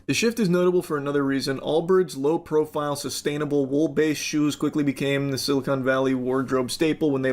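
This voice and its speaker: A man giving casual, mocking commentary on a news article with dry sarcasm. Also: on the high side.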